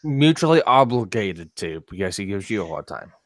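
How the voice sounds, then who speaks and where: Low raspy man, froggy throat, lively delivery. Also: normal speed, dry recording, studio quality. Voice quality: slightly raspy. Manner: wry, joking. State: froggy throat that will not clear. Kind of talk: casual group chat on a live stream.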